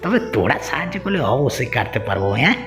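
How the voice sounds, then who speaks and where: A man's voice: mid-range voice, very animated, quick pace, in a large hall, over music, close to an everyday voice. A man voicing a greedy, scheming village man in a Bengali cartoon. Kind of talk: Bengali moral-story cartoon dubbing.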